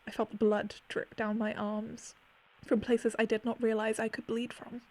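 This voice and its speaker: A woman's voice: on the high side, level tone, normal speed, close to an everyday voice. A woman playing a haunted narrator of eerie memories, reciting a poetic monologue in a solemn, incantatory way. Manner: solemn, incantatory, repetitive phrasing.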